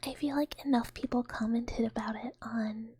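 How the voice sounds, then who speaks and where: Female livestreamer, higher-pitched soft whisper, very airy, rambling and playful casual chat. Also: fairly even intonation, normal speed, slightly rough, dry recording, clean studio sound. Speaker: live streamer.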